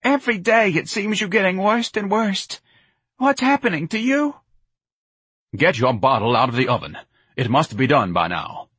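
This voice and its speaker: A male narrator acts out an older working-class mother in a comic audiobook, pitched well up. She is plaintive, nagging and suspicious, with folksy, whining speech. The sound is dry and close. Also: expressive, normal speed, a bit bright, breathy edge, no echo. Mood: tragic wailing.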